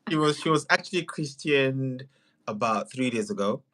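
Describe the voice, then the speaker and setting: Guy on a casual livestream, medium pitch, animated, repeats words for emphasis. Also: moderate pace. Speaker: video creator and livestream guest.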